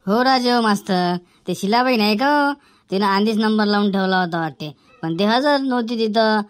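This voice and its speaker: A woman's voice: mid-range voice, lively intonation, normal speed, dry recording, lightly acted. A woman voicing a chatty male village journalist who gossips in a comic cartoon, with a rural Varhadi Marathi accent. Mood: gossipy amusement.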